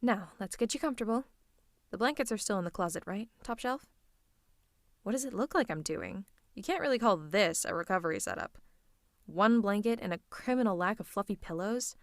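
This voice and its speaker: A woman's voice: medium pitch, lively intonation, brisk, no room sound, studio quality, natural voice. A woman playing a bossy, teasing villain who is secretly fond of her hero nemesis, in a dramatic ASMR roleplay. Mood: fussing, teasing. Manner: dramatic, teasing, mock-haughty, fussing.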